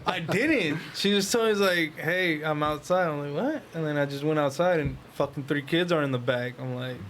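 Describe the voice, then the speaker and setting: A man's voice: a little high, normal speed, a bit bright, slight background noise. A young man co-hosting a podcast in casual group banter, speaking bluntly with a lot of slang and swearing. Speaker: podcast co-host.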